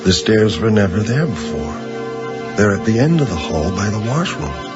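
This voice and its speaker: An actor playing a dazed middle-aged male patient in a radio drama, halting and musing over a strange story. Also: lowish voice, sing-song. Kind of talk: 1980s radio drama acting. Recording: dull 1980s radio broadcast, loud music.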